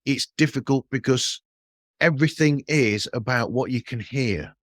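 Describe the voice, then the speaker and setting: A man's voice: medium pitch, moderate pace, no echo, studio quality. A man hosting a podcast interview in a casual, meandering way, rephrasing his thoughts and questions as he goes.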